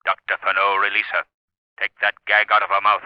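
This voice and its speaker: An actor playing an invisible crime fighter in a 1930s radio drama, commanding, mocking and ominous. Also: male voice, on the low side, completely flat delivery, fairly quick, smooth, no echo, natural voice. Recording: dull vintage 1930s radio recording.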